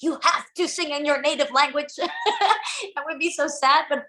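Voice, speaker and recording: A young woman from Flanders with a high, light voice talks about her songwriting as an interview guest. She is bubbly and giggles often, restarting her sentences as she goes. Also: steady tone, moderate pace, bright, forceful tone. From Flanders, Belgium. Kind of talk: casual interview answers.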